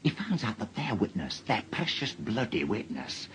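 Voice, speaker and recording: An actor playing a defensive, self-pitying middle-aged philandering husband in a radio drama, agitated, with a Northern English accent. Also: male voice, on the low side, very animated, normal speed, fairly bright tone, a little hiss or hum. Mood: panicky.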